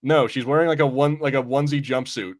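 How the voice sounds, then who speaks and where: A man's voice: mid-range voice, fairly even intonation, quick pace. Casual, rambling man on a podcast. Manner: rambling, informal, sweary. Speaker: podcast host. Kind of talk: casual review chat.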